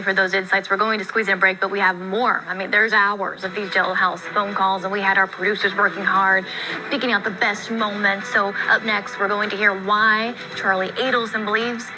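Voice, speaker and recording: A woman's voice: medium pitch, rough recording. An American woman hosting a live legal news discussion, talking in an informal, wry, conversational way. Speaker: TV news show host.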